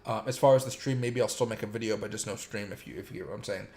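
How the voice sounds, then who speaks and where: A man hosting a casual crypto livestream, his voice a little sore and raspy from days of streaming, rambling with lots of fillers and greeting chat viewers by name. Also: fairly low pitch, fairly even intonation, fast talker, a little mellow.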